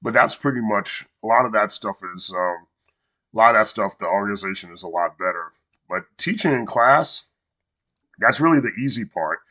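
A man's voice: lowish voice, lively intonation. A man hosting his own podcast in a casual, earnest monologue, sounding congested from a cold. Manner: warm, measured and earnest, with small restarts. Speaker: podcast host and coach.

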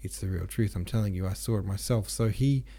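A man's voice: lowish voice, fairly quick, mellow. A man reading the part of a garrulous, devout old peasant pilgrim woman in an audiobook, earnest and easily shocked. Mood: horrified, admonishing. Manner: rambling, earnest, pious, admonishing.

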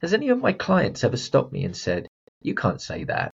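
Blunt man, husky voice. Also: mid-range voice, wildly swooping pitch, moderate pace, lo-fi sound. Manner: emphatic, blunt, direct address to listener. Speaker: podcast host and confidence coach. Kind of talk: motivational solo podcast.

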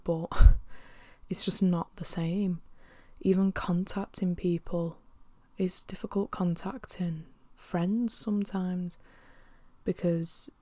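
A young woman with a sniffly cold, talking candidly to camera from home, rambling and swearing, often dropping into soft whispery asides. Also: lowish voice, no room sound. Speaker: vlogger. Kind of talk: casual personal monologue. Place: at home by her desk.

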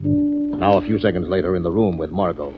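A man's voice: low-pitched, brisk, over music. A man working as announcer and narrator, reading scripted copy in an emphatic, formal 1940s radio style. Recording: muffled 1940s radio recording.

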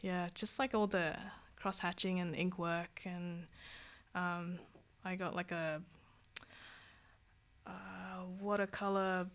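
A woman's voice: on the low side, little pitch movement, takes it slowly. A woman from Vietnam, a guest illustrator, chatting casually in an interview. She rambles and corrects herself, with many "like" and "um".

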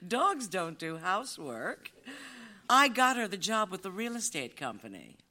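A woman's voice: medium pitch, big pitch swings, unhurried, bright, forceful tone. A woman acting a breezy, nonchalant talking dog who runs a business, in a live audio theater comedy. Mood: breezy, proud. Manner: nonchalant, matter-of-fact. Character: breezy, self-assured female business-boss dog.

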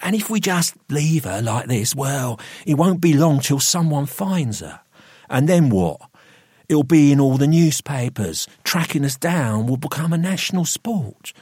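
A man voicing a cold, ruthless man in an audiobook, hissing sharp, urgent, contemptuous orders. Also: a little high, big pitch swings, a little slow, slightly rough, dry recording, studio quality, voice pushed up, heavily acted. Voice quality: hissed, sharp, urgent delivery.

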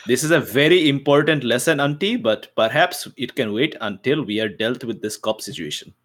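A man's voice: medium pitch, expressive, normal speed, a bit bright. A man playing a cheeky, talkative young sword-school student who speaks politely to elders in a tabletop game. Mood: earnest, cheeky. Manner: chatty, deferential yet cheeky.

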